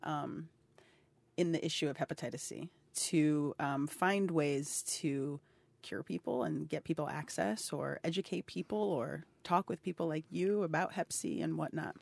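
A woman in her thirties asks warm, curious follow-up questions in a conversational interview. She sounds encouraging and engaged. The recording is dry and close. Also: low voice, a little slow, creaky, dry recording. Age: 30s. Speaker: interviewer, health advocate. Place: indoors at a cultural center.

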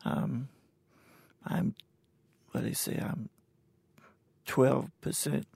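An older man in his sixties talks with a deeply gravelly, husky voice. His delivery is plain and halting, unhurried as he tells his own story. The sound is dry and close. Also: flat delivery, a little mellow, no echo. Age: 60s. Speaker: interviewee. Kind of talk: recorded personal conversation. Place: indoors at a cultural center.

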